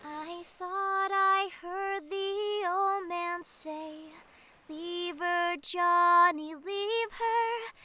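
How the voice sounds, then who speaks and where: Gentle sad young girl, really high voice, very slow n tender, sea story audio drama. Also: soft background music, full character voice. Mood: mournful singing. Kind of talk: audio drama acting, sea shanty singing.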